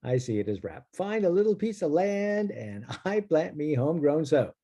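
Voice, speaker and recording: A man's voice: on the high side, very animated, moderate pace, mellow. A man playing a laid-back reggae-loving cannabis grower with a put-on in a play table read. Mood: laid-back, grooving. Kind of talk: table read of a stage play, half-chanted rap. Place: remote video call.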